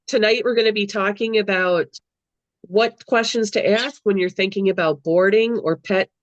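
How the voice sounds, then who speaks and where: A woman hosting a pet podcast, opening the show in a slow, formal announcing manner. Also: medium pitch, dry recording. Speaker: podcast host. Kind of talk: scripted podcast intro. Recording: dry, dull lo-fi audio.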